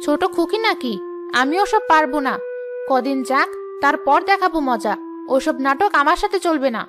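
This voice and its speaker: A woman voicing a resentful, sharp-tongued young daughter-in-law in a cartoon moral story, complaining and defiant. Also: sits high, lively intonation, moderate pace, music in the background. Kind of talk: animated moral story voice acting.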